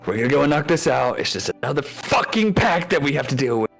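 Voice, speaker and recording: A man's voice: a little high, sing-song, fast, creaky, a bit bright. A man playing a tabletop game, chatting casually and speaking in character. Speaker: player. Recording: background music.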